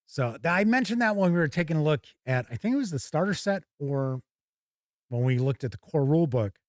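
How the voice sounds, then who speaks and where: A man hosting a tabletop game show, giving casual, halting live unboxing commentary and thinking aloud. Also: fairly high pitch, expressive, dry recording, studio quality.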